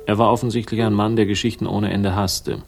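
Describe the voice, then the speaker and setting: An actor playing a world-weary, sardonic investigator in a 1960s German radio crime drama, speaking in a dry, laconic, cynical way. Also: male voice, on the low side, steady tone, fairly quick, gravelly, over music. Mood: wry, disdainful. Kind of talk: 1960s radio noir narration and dialogue.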